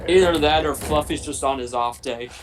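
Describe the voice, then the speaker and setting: A man's voice: on the high side, moderate pace, noisy background. A man in a casual film watch-along, quibbling and joking as he comments. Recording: loud film music behind. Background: film soundtrack music.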